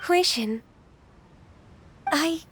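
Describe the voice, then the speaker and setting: A woman voicing a gentle, reserved, ageless secretary in a video game, speaking formally and courteously. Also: very high-pitched, expressive, extremely slow, slight background noise, heavily acted. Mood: moved, wistful. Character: gentle, reserved ageless female secretary, thousands of years old. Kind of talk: scripted video game voice acting.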